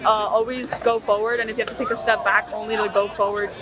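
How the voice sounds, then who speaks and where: A passerby answering quickly on a city street. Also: mid-range voice, flat delivery, low-quality recording. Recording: street crowd noise.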